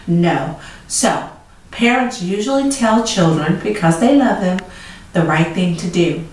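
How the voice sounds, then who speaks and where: Animated storytime woman in an echoey room. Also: lowish voice, a little slow. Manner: animated, emphatic, asks the listener questions. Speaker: storytime host. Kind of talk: children's storytelling and moral lesson. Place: small indoor room with chalkboard set. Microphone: camera mic a few feet away. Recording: echoey room, distant camera mic, faint noise.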